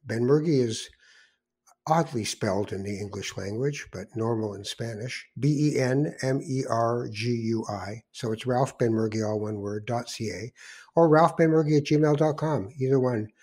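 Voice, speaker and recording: A man's voice: medium pitch, moderate pace, a little mellow, slightly rough. An older man with a Canadian accent hosting a podcast in a casual, conversational way, with reflective, rambling storytelling. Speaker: podcast host.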